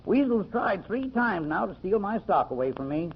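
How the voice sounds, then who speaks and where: An actor playing a shrewd, talkative country store owner, folksy and self-satisfied, in an old-time radio mystery. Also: male voice, high-pitched, very animated, moderate pace, slight background noise. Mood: folksy, genial. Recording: muffled, dull old radio recording.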